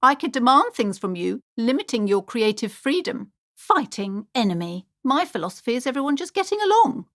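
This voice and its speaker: A superstitious woman who runs a bookshop in a video game. Her high voice swoops dramatically up and down as she frets and preaches. The delivery is theatrical and anxious, in a very dry, close recording. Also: sing-song, normal speed, hardly any pauses, fairly bright tone, dry recording. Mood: prim, preachy. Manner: theatrical, fretful, swinging between gushing and alarm.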